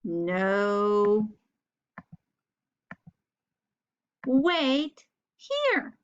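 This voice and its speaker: A woman voicing a calm, unhurried male panda from a storybook, in drawn-out, terse, measured phrases. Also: medium pitch, clean tone, highs cut off, voice pushed down. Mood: matter-of-fact.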